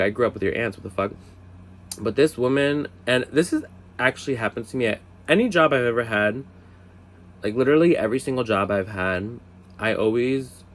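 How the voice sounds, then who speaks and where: A man in his twenties telling a casual story, chatty, swearing, with lots of "like" fillers and high-voiced impressions of other people. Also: a little hiss or hum. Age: 20s. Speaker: storyteller vlogger.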